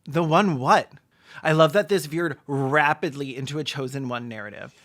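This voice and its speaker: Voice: medium pitch, normal speed. Snarky, campy video essay commentator. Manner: snarky, campy, joking asides. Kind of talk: sarcastic scripted commentary on a film.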